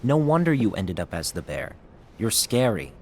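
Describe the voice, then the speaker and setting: An actor playing a cool, sly, detached schemer in a video game cutscene, dry in delivery. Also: male voice, on the low side, very animated, normal speed, darkish tone, a little hiss or hum. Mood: teasing. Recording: music under the dialogue.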